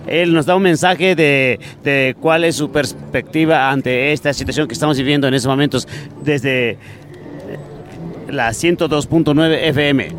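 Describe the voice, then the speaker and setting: A man with a Mexican accent interviewing protesters for a community radio station, pausing often with "este". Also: on the high side, fairly bright tone, slight background noise. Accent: Mexican Spanish. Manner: halting, with repeated "este" fillers. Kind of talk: street interview.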